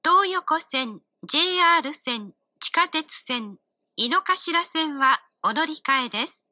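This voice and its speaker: A woman's voice: high-pitched, lively intonation, slow pace, sharp tone, dry recording. A woman making formal station announcements, caught in a dull, noisy recording.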